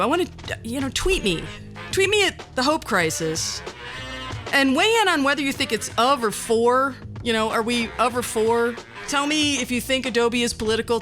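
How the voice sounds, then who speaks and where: A woman's voice: mid-range voice, a bit bright. A middle-aged woman hosting a podcast, delivering a personal monologue in a wry, self-deprecating and theatrically emphatic way. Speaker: podcast host.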